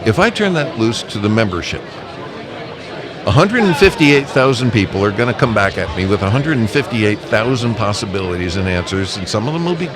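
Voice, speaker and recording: A man's voice: fairly low pitch, expressive, a little fast. A man speaking as a guest in a casual live interview, explaining his points emphatically and step by step. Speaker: amateur radio association representative, interview guest. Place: busy convention event. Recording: busy convention crowd noise behind. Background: crowd chatter.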